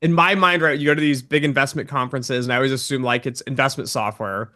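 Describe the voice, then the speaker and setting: A man's voice: medium pitch, quick pace. A man hosting an interview show, asking loose, rambling questions. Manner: relaxed, rambling questions with fillers. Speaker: podcast host.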